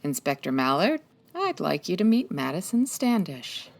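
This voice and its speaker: A woman playing a wry, quick-witted 1940s private detective in a comedy audio drama, with an arch, teasing manner. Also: low voice, sing-song, creaky, exaggerated voice. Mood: coy, teasing. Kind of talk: comedy audio drama acting, old-time radio detective.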